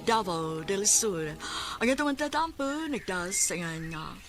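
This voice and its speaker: Slow-paced woman radio drama announcer. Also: mid-range voice, sing-song. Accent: Cebuano Filipino. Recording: studio voice over faint music.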